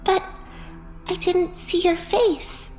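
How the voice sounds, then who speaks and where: Woman acting a timid young maid, high voice, meek and earnest, audiobook drama, echoey with music behind. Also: fairly even intonation, slow, a little mellow.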